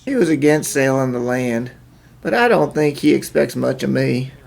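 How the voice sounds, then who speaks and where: A man's voice: mid-range voice, lively intonation, moderate pace, vocal fry, darkish tone. A man playing a meek, defeated farmer with folksy rural phrasing and a resigned manner in a fan-dubbed cartoon. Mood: sorrowful. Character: meek, defeated young male farmer, self-declared coward. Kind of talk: fan-dub cartoon acting.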